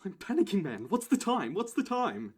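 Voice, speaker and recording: Anxious male passenger, played by a man for audio drama: slightly high, very animated pitch, halting, flustered pleading. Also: husky, exaggerated voice. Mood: panicked, pleading. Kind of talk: amateur audio play acting.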